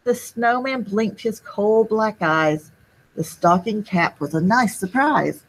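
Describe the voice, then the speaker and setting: A young woman with a husky voice reads a picture book aloud to children, pausing to explain it in simple words. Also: medium pitch, animated, slight background noise, low-quality recording. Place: small indoor room.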